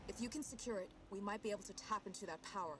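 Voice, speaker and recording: A woman playing a brisk, analytical scientist who advises troops in a video game, technical and businesslike. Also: mid-range voice, noisy background, natural voice. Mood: focused, explanatory. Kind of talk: video game cutscene and mission dialogue. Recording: game battle ambience behind voice.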